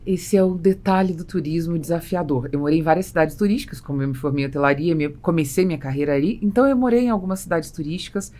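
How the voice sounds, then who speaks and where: A woman's voice: sits low, fast, few pauses, mellow. A Brazilian woman hosting a business podcast, explaining her opinions in a conversational way. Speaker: podcast host and interviewer.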